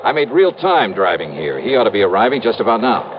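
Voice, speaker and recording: A man's voice: lowish voice, very animated, fast talker, noisy background. An American man playing a confident, hard-boiled insurance investigator in a vintage radio drama, wry, clipped and casual. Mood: insistent, persuasive. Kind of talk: 1950s-60s radio detective drama, first-person narration. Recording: dull vintage radio recording, music behind.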